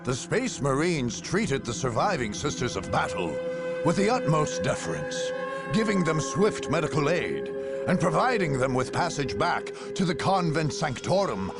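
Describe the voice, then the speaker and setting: An actor playing a grave, stately war chronicler, narrating a video game in a measured, formal, theatrical manner. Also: male voice, fairly high pitch, big pitch swings, loud music behind the voice. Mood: solemn. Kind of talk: scripted dramatic game narration.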